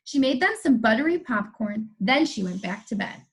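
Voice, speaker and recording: Animated, higher-voiced woman storyteller for children. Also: normal speed, slightly rough. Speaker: read-aloud co-presenter for young children. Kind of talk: animated storybook narration with chatty asides.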